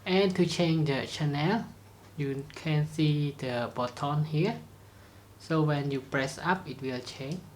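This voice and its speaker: A presenter giving a step-by-step product demonstration in simple, halting sentences with non-native grammar. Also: medium pitch, a little hiss or hum. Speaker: tutorial presenter.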